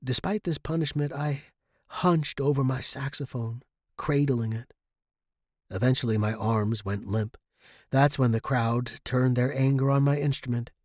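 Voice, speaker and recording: A man's voice: a little high, no room sound, studio quality. A man playing a battered saxophone star in a dramatic story reading, intense and anguished, a bitter, frightened but defiant patient.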